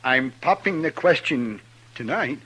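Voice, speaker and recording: A man's voice: medium pitch, lively intonation, unhurried, a bit bright, breathy edge, low-quality recording. An actor playing a sweet, peculiar, eager small-town handyman in an old-time radio western, earnest and deferential. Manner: deferential, earnest, repeats himself.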